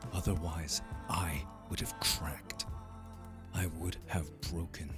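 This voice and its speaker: A man playing a proud, wounded young vampire hardened into bitterness, with intense, accusing delivery in an audio drama. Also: deep voice. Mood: bitter, hurt. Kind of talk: dramatic audio roleplay acting.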